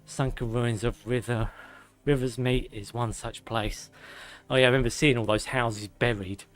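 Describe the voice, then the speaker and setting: A man streaming a game, reading lore aloud with sarcastic asides, his voice going hoarse and a little out of breath. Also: medium pitch, steady tone, moderate pace. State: slightly out of breath. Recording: faint music behind.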